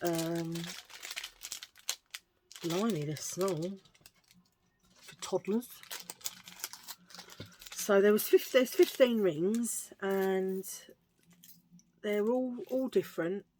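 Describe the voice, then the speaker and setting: A middle-aged woman with a husky low voice, chatting in a rambling, self-mocking way while showing a thrift haul. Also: drawn-out words, pauses often, warm tone, a little hiss or hum. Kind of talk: casual chatty haul video.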